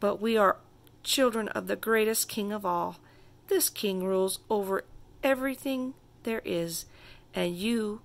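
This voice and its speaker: Woman reading as a gentle, slow-talking storybook father. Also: medium pitch, creaky. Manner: tender, patient. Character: gentle, reassuring father of a little girl. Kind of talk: children's storybook character voice.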